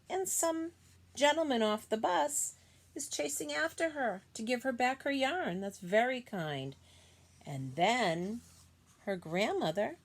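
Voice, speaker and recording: Woman, children's picture book read-aloud, explanatory and simply phrased, mid-range pitch, slight background noise.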